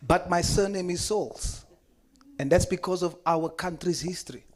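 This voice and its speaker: An earnest South African man, Afrikaans his first language, addresses a committee with conviction. His voice sits a little high for a man, and he leans on repeated phrases to drive points home. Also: moderate pace. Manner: earnest, impassioned, emphatic repetitions. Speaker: member speaking in a committee hearing. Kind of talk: formal spoken address.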